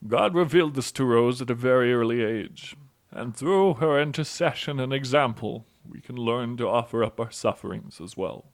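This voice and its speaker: A man's voice: medium pitch, moderate pace, natural voice. A man playing a devout, thoughtful priest in an audio drama, with a solemn preaching manner. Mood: solemn, reverent.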